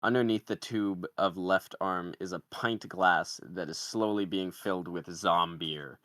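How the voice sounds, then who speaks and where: A man's voice: sits low, a little slow, a bit bright, no room sound, clean studio sound. A man doing scripted narration, reading out screenplay stage directions at a table read.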